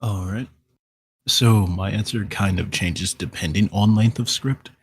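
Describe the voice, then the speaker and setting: A man's voice: lowish voice, a little slow. A man speaking as a voice actor on a podcast panel, explaining things casually.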